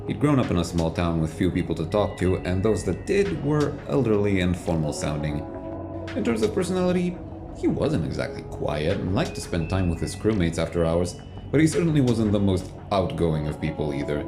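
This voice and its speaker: A man narrates a science fiction audio drama in a low, deep voice. Music plays clearly behind his scripted storytelling. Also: very animated, a little fast.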